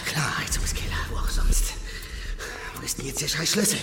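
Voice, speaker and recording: An actor playing a cornered, defiant small-time crook on the run, muttering and cursing sarcastically in a radio crime drama. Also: male voice, on the high side, normal speed, whispered, natural voice. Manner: streetwise, muttering, cursing, sardonic. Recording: clear music behind the voice.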